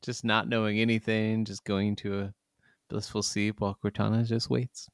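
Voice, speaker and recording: A man's voice: fairly low pitch, level tone, moderate pace, clear, resonant tone, dry recording, studio quality. A man co-hosting a podcast, chatting casually about video games with agreeable, easygoing replies. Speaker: podcast co-host.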